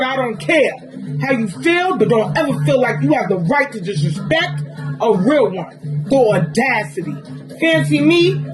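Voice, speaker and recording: A woman with a fairly high, wildly swinging voice performs spoken word poetry in an intense, rhythmic cadence. Guitar music plays loudly under her. Her emphasis rises and falls sharply from line to line. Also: poor audio. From New York. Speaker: poet.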